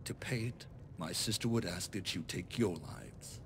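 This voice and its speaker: An actor playing a cold, calculating nobleman in a video game, speaking in a formal, measured, condescending way. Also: low voice, noisy background. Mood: grim, businesslike. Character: calculating noble bastard son demanding repayment, cold and composed. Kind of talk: video game mod voice acting.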